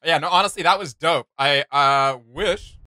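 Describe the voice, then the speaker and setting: A man's voice: fairly high pitch, normal speed, pushed and bright, no room sound, clean studio sound. A man in his thirties hosting a casual reaction chat, enthusiastic and slangy, recorded in a small home room. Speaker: reaction video host.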